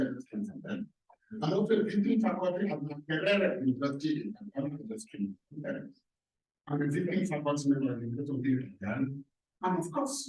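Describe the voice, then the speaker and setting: A man from Cameroon speaks on a panel, pressing his points with emphatic rhetorical questions. His voice sits a little high. He is picked up from some distance in a roomy, echoing hall. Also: darkish tone. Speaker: professor on a panel. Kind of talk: panel self-introduction. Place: large echoey hall.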